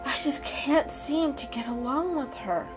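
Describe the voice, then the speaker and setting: A woman voicing a gentle, anxious female cat with an earnest, hesitant manner in a dramatic storybook reading. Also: high voice, a little slow, pitched above the performer's own voice. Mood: exasperated. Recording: music behind the reading.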